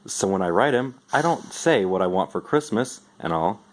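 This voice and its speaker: Man, lowish voice, dramatic storybook reading, expressive first-person storytelling. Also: moderate pace, low-quality recording. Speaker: amateur reader.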